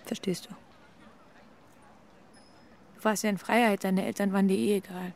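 A woman's voice: medium pitch, level tone, moderate pace, darkish tone, natural voice. A woman playing an anxious, earnest ex-girlfriend from East Berlin, hesitant and confessional, in a radio crime drama. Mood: anxious, confessing. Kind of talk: intimate radio drama dialogue.

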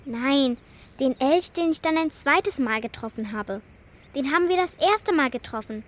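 A brave, insistent young girl in a children's radio drama. Her voice sits high and swings animatedly, with an earnest urgency. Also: animated, moderate pace. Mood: urgent, determined. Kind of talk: children's radio play acting.

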